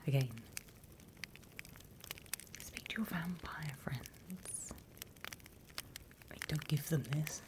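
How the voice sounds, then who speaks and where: A British woman performing an ASMR roleplay as a class instructor, mostly whispering in a breathy, gentle, conspiratorial way. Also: low voice, extremely slow, long pauses. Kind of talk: ASMR roleplay, whispered and soft-spoken lesson.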